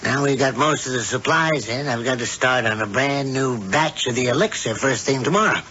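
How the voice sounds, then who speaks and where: An American actor playing a folksy, cheerful elderly husband, chatty and affectionate, in old-time radio drama style. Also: male voice, mid-range voice, animated, normal speed, bright, forceful tone. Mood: homely, businesslike. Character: cheerful, folksy elderly husband, a practical homely male witch. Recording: dull, hissy old radio recording.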